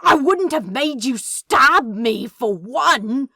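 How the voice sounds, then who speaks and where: A woman's voice: sits high, animated, slow, pushed and bright, slightly rough, voice pushed up, full character voice. A woman voicing an angry, wounded male demon in a story reading, sarcastic and sputtering through clenched teeth. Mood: anguished shouting. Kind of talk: dramatic fan fiction reading.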